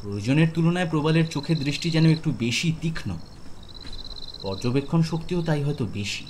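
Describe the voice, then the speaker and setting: A man's voice: a little high, expressive, brisk, a little mellow, slight background noise. A man narrating a scripted horror audio story in a dramatic style. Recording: music bed behind.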